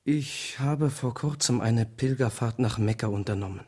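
A man's voice: mid-range voice, mellow, slightly rough, close to an everyday voice. An actor playing an intense, courteous male activist leader in a German radio drama, formal and insistent. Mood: fervent, urgent. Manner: formal and measured, insistent with emphatic repeats.

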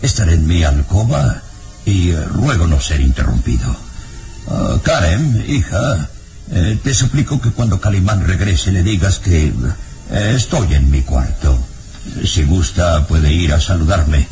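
An actor with a Mexican accent playing a fearful, secretive elderly professor in an old radio serial, halting and breathy. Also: male voice, low voice, animated. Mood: nervous, evasive. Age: older. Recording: old radio serial with loud background music.

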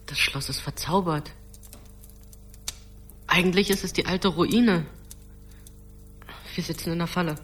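A man's voice: high-pitched, a little slow, pauses often, fairly bright tone, husky, exaggerated voice. A young male actor playing an earnest, anxious boy, a responsible older brother, in a radio play. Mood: alarmed. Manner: earnest, urgent. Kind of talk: German radio play acting.

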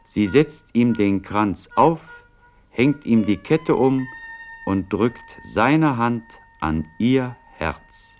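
A man reading stage directions as narrator of a German classical radio drama, solemn and deliberate. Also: mid-range voice, animated, faint music behind.

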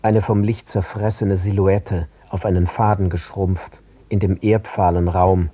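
A man narrating literary prose for an audio drama in measured, separate short phrases. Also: deep voice, flat delivery, mellow, slightly rough, no room sound. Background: prominent music.

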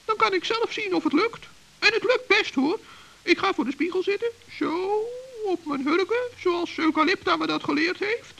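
A tiny, childlike old gnome in a children's radio play. The voice is extremely high, creaky and bright, chattering with self-importance and excitable pride. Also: male voice, moderate pace, heavily acted, rough recording. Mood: proud, chatty. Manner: chatty, self-important, stammers when flustered. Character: small excitable proud forest gnome, elderly and childlike.

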